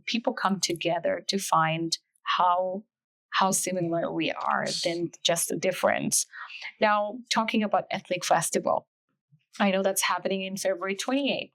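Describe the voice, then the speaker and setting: A woman's voice: lowish voice, a bit bright, low-quality recording. A woman from Bangladesh, hosting a conversational interview in an effusive, affirming manner. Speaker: podcast host.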